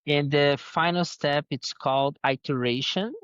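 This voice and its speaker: A man with a heavy accent who is not a native English speaker, answering interview questions slowly, with many fillers and restarts. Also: fairly high pitch, fairly bright tone, clean tone, no room sound, dull audio. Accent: Spanish-speaking. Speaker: guest, head of design.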